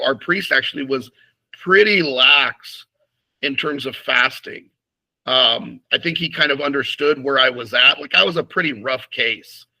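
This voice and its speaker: A man's voice: medium pitch, pushed and bright, low-quality recording. A man, a priest being interviewed, talking frankly about his past with many restarts and fillers. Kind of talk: casual interview conversation.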